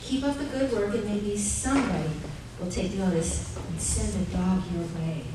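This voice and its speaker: A woman playing a gentle, patient young mother in a live radio play, soothing and reassuring. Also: mid-range voice, lively intonation, normal speed, close to an everyday voice. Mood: tender. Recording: echoey live stage recording, distant, noisy.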